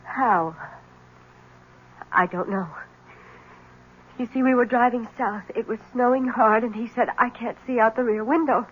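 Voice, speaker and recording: An actress playing an anxious, fragile, devoted young wife in a 1970s radio mystery, speaking in a hesitant, pleading way. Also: female voice, fairly high pitch, a little slow, pauses often, breathy edge. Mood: anxious, bewildered. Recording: tinny, band-limited old radio broadcast.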